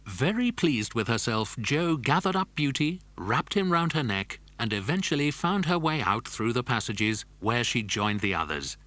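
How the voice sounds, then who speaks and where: A man's voice: mid-range voice, sing-song, moderate pace, few pauses, a bit bright. A man narrating a scripted children's adventure story.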